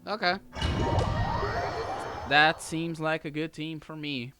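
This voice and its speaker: A man's voice: a little high, steady tone, takes it slowly. A man doing casual video game commentary, playfully muttering to himself and echoing game text. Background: loud game music.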